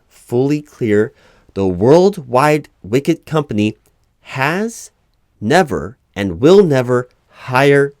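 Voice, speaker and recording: A man playing an earnest, easily flustered corporate recruiting manager in a one-sided ASMR roleplay, speaking aloud in a halting, officious, repetitive way. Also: medium pitch, darkish tone, no echo, studio quality. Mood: exasperated, insistent. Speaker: job interviewer.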